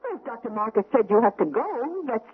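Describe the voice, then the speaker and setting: An actress playing a doting but firm middle-aged wife, speaking tenderly in an old radio drama. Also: female voice, a little high, sing-song, brisk, breathy edge, heavily acted. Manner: tender and soothing. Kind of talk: 1970s radio drama acting. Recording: tinny old radio broadcast.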